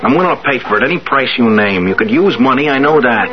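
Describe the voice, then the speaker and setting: An actor playing a brash, pushy young streetwise suitor in a 1970s radio drama, blunt and slangy. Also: male voice, medium pitch, expressive, fast talker, lightly acted. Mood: pushy, bargaining. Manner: blunt, insistent, slangy working-class talk. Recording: dull lo-fi old radio, music behind.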